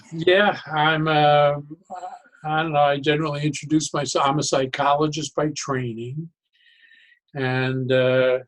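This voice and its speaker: Male interview guest, sixties or older, medium pitch, casual and halting, thinking as he goes. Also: rough recording. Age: 60s or older. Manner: halting, reflective, often pausing mid-sentence. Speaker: podcast guest, psychologist and humor speaker.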